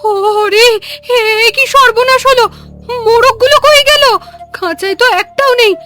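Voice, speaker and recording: A woman's voice: very high voice, measured pace, music in the background. A woman voicing a poor village wife and mother in a cartoon, worried and scolding. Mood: alarmed. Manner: fretful, scolding. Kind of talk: cartoon voice acting.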